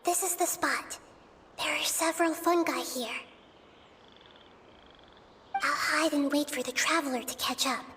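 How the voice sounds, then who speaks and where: A woman voicing a calm, thoughtful young girl in a video game, musing aloud in a composed, deliberate way. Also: sits high, a little hiss or hum. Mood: cautious, focused. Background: music.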